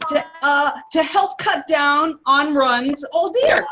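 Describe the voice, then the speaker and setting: A woman's voice: sits high, takes it slowly, bright, forceful tone, heavily acted. A woman playing an earnest, gushing teenage daughter in an amateur table read of an old radio commercial. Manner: exclamatory, gushing. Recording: noisy video call with clicks.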